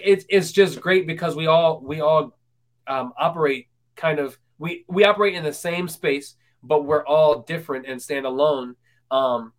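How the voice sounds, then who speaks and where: A man in his twenties hosting a casual interview, full of ums and restarts. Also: on the high side. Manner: hesitant, many ums and restarts. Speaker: podcast host.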